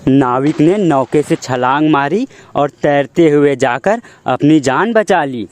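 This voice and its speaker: A man narrating a children's moral story in a measured, deliberate storytelling manner. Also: on the high side, lively intonation, darkish tone.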